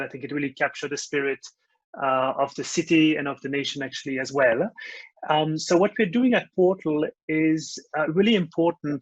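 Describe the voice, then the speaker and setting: A man's voice: a little high, a bit bright, dry recording, dull audio. A man from France with a French accent, earnestly explaining his company's needle-free device, with many fillers. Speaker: company founder. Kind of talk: webcast pitch.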